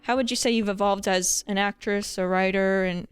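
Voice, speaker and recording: A woman's voice: mid-range voice, fairly even intonation, moderate pace, smooth, soft background music. A woman hosting an interview podcast, giving short encouraging replies and asking long questions. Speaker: podcast host. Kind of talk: casual interview.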